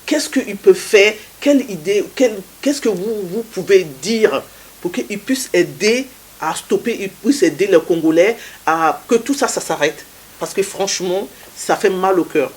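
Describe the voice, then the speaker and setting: A woman's voice: mid-range voice, animated, a little slow. A woman interviewer asking earnest, emphatic questions.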